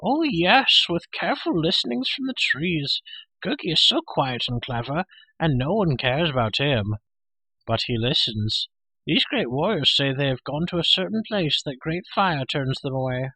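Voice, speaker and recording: A man's voice: fairly high pitch, very animated, moderate pace, sharp tone, breathy edge, dry recording, voice pushed up, exaggerated voice, low-quality recording. A man voicing a hairy, half-animal storybook creature who wails, pleads and fawns hungrily. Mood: boastful, pleading. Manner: wailing, pleading, fawning, speaks of himself in third person. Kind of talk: storybook character voice.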